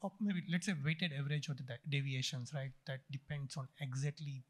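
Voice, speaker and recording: A man's voice: mid-range voice, steady tone, a little fast. A man in the audience asking a technical question, restarting and rephrasing as he searches for words.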